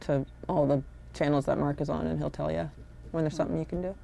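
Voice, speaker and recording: A woman's voice: low voice, steady tone, fast talker, vocal fry, mellow, slight background noise. A woman chatting casually on a podcast in a frank, informal and emphatic way.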